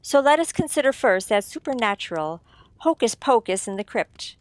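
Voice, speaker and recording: A woman playing a shrewd writer-sleuth who explains things theatrically and deliberately in an old-time radio mystery. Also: on the high side, sing-song, no room sound. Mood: smugly accusing.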